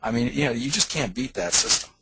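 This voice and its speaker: A man making an emphatic, persuasive sales pitch on a conference call, like a company leader selling an opportunity. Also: lively intonation, quick pace, noisy background.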